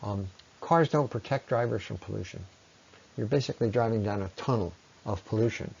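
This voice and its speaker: A man giving an explanatory slide presentation as a lecturer, often pausing mid-sentence as he explains. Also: lowish voice, gravelly, darkish tone, breathy edge, dull audio.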